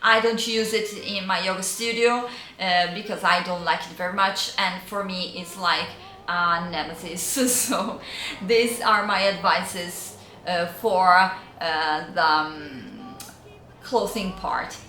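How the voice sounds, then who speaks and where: A woman with an Italian accent, a native Italian speaker, giving casual style advice in a vlog, often pausing to search for words. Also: lowish voice, steady tone, roomy sound, a little hiss or hum. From Italy. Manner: hesitant, searching for words, frequent fillers and soft laughs. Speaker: vintage style vlogger and yoga teacher.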